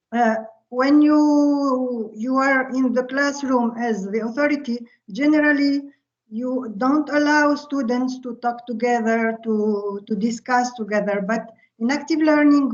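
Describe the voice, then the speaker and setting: A woman from Tunisia, an Arabic speaker, giving a workshop lecture over a video call, halting and pausing often with fillers. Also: fairly high pitch, a little mellow. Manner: halting, with many filler pauses. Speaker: visiting lecturer. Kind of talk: online workshop presentation. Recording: muffled video-call audio.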